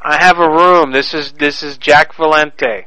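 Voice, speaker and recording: Guy prank calling a hotel, crude and pushy, sharp bright tone. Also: on the high side, fairly even intonation, unhurried, low-quality recording. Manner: mock-serious, crude, pushy. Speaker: prank caller. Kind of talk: prank phone call.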